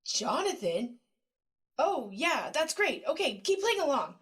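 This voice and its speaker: A woman's voice: high-pitched, sing-song, a little slow, a bit bright, exaggerated voice. A woman voicing a playful, bossy young female friend in a comic-book cartoon. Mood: bossy, encouraging. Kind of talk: motion comic voice acting. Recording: music clearly behind the voice.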